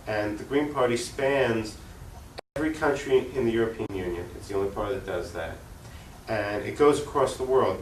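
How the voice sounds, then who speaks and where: An American man gives explanatory interview answers as a political activist. His mid-range voice is earnest and didactic, leaning on repetition for emphasis. He sounds set back from the microphone in an echoey room with faint noise. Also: mid-range voice. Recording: roomy, distant mic, faint noise.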